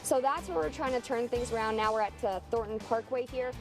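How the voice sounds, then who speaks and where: A woman's voice: a little high, brisk. An American woman giving an ad-libbed live traffic and weather report from a vehicle on the road. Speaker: TV meteorologist, live field reporter. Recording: music bed behind live report.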